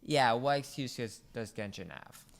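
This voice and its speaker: Young female co-host, very deep smooth voice, dry hesitant delivery. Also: moderate pace. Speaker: podcast co-host. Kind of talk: casual gaming chat.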